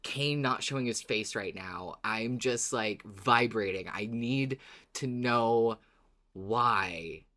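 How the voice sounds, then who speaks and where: A woman co-hosting a podcast in casual fan chat, expressive and enthusiastic, with some swearing. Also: rumbling low, slow pace. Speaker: podcast co-host.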